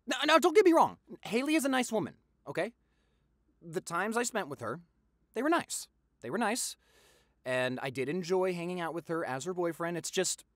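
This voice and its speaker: A young man playing a gossipy, affectionate popular boyfriend in an audio roleplay, with a theatrical, teasing manner and drawn-out emphasis. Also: on the high side, a bit bright, dry recording, studio quality. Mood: gossipy, careful. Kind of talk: dramatic boyfriend audio roleplay, addressed to listener.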